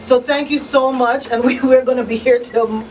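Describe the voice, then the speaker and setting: A woman's voice: fairly high pitch, fairly even intonation, normal speed, darkish tone. A woman hosting a casual radio talk show, speaking emphatically and sometimes reading out announcements. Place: radio studio. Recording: distant mic with faint background noise.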